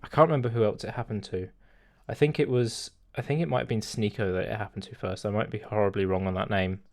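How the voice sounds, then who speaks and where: Laid-back young man, low voice. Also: steady tone, fast, dry recording, clean studio sound. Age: 20s. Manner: rambling, lots of fillers and laughter. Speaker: podcast host. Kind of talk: casual podcast chat.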